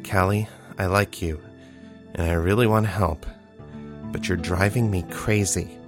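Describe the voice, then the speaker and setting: A man's voice: deep voice, steady tone, unhurried, music in the background. A man voicing an earnest, tender-hearted and easily flustered young man in a fantasy audiobook. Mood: strained, pleading. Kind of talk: dramatized audiobook dialogue.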